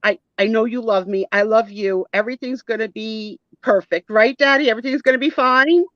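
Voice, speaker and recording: A woman's voice: fairly high pitch, fairly quick, fairly bright tone, no room sound, highs cut off, voice pushed up, lightly acted. A woman voicing an anxious, clingy, girlish grown woman in a comic skit, plaintive and emphatic, repeating herself. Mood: scared, pleading.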